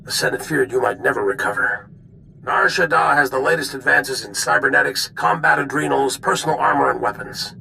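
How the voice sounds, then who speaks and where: An actor playing a weary, grave older general who gives deliberate military briefings in a video game. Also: male voice, medium pitch, gravelly, sharp tone, a little hiss or hum, full character voice, rough recording. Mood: grave, confiding. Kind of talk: dramatic video game dialogue.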